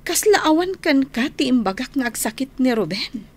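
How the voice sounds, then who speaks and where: A woman's voice: sits high, big pitch swings, normal speed. An actress playing a worried, devoted, earnest young woman in an Ilocano radio drama, with an Ilocano accent. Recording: clear humming noise behind voice.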